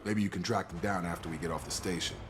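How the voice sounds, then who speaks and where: Man playing a low-voiced, plain-spoken American soldier. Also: steady tone, fast talker, background noise, close to an everyday voice. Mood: matter-of-fact, explaining. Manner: plain-spoken, informal, matter-of-fact. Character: steady, loyal male soldier and station security chief. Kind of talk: video game dialogue.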